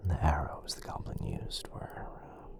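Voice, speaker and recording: A man playing a gentle, caring wizard healer in an intimate ASMR roleplay, murmuring soothingly with hesitant pauses. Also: natural voice. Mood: subdued, reflective.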